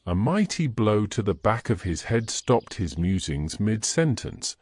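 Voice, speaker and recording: A man's voice: lowish voice, very animated, unhurried, a little mellow, no room sound, clean studio sound. A man narrating a scripted fantasy story as its storyteller.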